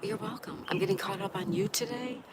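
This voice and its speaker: A woman's voice: mid-range voice, brisk. A woman hosting a casual livestream, with a stuffed-up nose, greeting viewers by name and reading their chat aloud. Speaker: livestream host. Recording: loud background noise.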